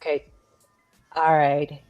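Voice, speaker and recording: Casting: woman guest, slightly low voice, playful and conversational, faint music behind. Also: completely flat delivery, slow, muffled recording. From Texas, United States. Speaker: guest, saxophonist. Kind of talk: casual chat. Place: indoors, virtual show video call.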